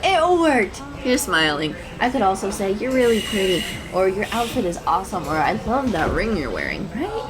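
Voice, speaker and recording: A woman's voice: medium pitch, sing-song, normal speed, hardly any pauses, close to an everyday voice, rough recording. A woman playing a shy, anxious young woman in an audio roleplay, rambling nervously and self-consciously to a stranger. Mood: awkward, rambling. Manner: nervous, hesitant, rambling, self-deprecating, giggly. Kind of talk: intimate audio roleplay addressed to the listener. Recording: coffee shop chatter behind voice. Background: coffee shop crowd sound effects.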